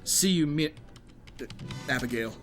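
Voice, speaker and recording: A man's voice: fairly high pitch, unhurried, a bit bright, soft background music. An actor playing a middle-aged former writer and estranged father, eager and evasive, in an audio drama. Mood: awkward, subdued.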